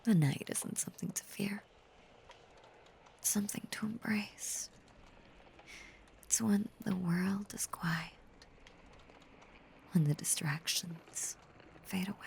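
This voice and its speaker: A woman playing a possessive, obsessively devoted female werewolf lover in an intimate roleplay audio, breathy and whispery, with lingering pauses. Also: lowish voice, steady tone, darkish tone. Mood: soothing. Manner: slow, lingering, intimate delivery with long pauses.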